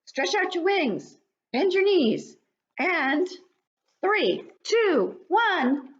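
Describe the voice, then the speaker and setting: Woman voicing an excited high-pitched storybook bug. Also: drawn-out words, muffled recording, full character voice. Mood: excited, urging. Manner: exclaiming, coaxing, proud. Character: encouraging, excited grown bug cheering on a little bug. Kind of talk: children's picture book read-aloud.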